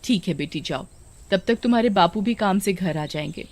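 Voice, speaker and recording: A woman voicing a caring, easily amazed village mother with a concerned manner in an animated story. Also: medium pitch, normal speed. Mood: soothing.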